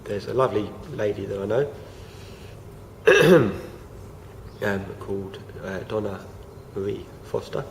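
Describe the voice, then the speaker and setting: A young man talks haltingly, full of fillers and false starts. His low voice is gravelly and croaky from feeling unwell, and a steady hiss sits under the home recording. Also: poor audio. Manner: hesitant, many fillers and restarts. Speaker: vlogger. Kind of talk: casual talk to camera.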